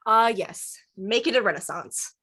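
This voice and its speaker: A woman's voice: mid-range voice, a little slow, pushed and bright, slightly rough. A woman playing a dry, teasing, star-loving teenager at a table read of a film script. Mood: musing, wry. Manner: dry, musing, teasing banter.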